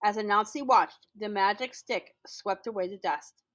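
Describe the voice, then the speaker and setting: A woman's voice: medium pitch, a bit bright. A woman reading a children's picture book aloud expressively, stressing words and drawing out repetitions for effect. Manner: emphatic, with drawn-out repetitions for effect. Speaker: school storyteller.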